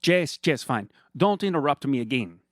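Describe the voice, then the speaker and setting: A man's voice: mid-range voice, animated, moderate pace, a bit bright, no echo, pitched above the performer's own voice, close to an everyday voice. Brief: preening villain with a raised, lilting voice, very dry close sound, drawls and purrs with mock sweetness, a man putting it on. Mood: gloating. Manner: theatrical, purring, condescending. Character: smug villainous male hand model. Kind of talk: comedic storytelling voice.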